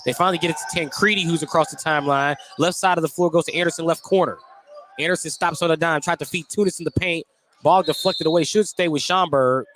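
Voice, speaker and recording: A man with a slightly high voice calls a basketball game as play-by-play announcer. His live commentary follows the action, with light gym noise and bouncing balls behind him. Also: fast talker. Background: basketball gym ambience, bouncing balls.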